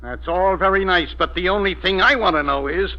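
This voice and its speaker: An actor playing a gruff, sarcastic police inspector in an old radio mystery, blunt and slangy. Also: male voice, high voice, fairly quick, lightly acted. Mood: gruff, annoyed. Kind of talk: 1940s radio mystery acting. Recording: dull vintage radio recording.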